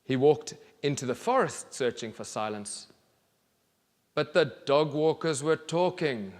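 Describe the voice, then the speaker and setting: A man in his thirties reads a children's story aloud on stage in a deliberate, expressive way with pauses. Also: fairly high pitch. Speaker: children's author reading aloud. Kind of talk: storybook reading to an audience. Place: large theatre stage, indoors. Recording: reverberant theatre hall.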